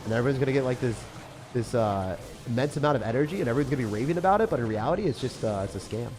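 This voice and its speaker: A man's voice: mid-range voice, lively intonation, very fast. A young man live-streaming a game, chatting casually with viewers, joking and reading their messages aloud, with slang like bro and man. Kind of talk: live-stream gameplay chat.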